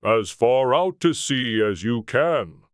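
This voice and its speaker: A man's voice: mid-range voice, animated, clean tone, dry recording, clean studio sound. A man voicing a mournful, sentimental mock turtle with a plaintive manner in a dramatized storybook reading. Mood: earnest. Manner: slow, plaintive. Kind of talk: dramatized audiobook character.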